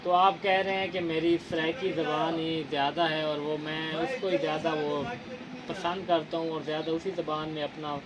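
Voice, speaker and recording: A man's voice: a little high, level tone, moderate pace, a loud crowd, poor audio. A man from Punjab, Pakistan hosting an interview in a casual chat, speaking in an earnest, lecturing way with formal words and many fillers. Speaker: interviewer, channel host.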